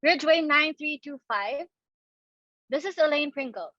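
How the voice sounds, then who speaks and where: Woman acting a high-voiced, flustered young daughter. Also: expressive, moderate pace, sharp tone, lo-fi sound, a little hiss or hum. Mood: polite, eager. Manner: anxious, pleading, theatrical. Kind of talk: dramatic reading of a stage comedy.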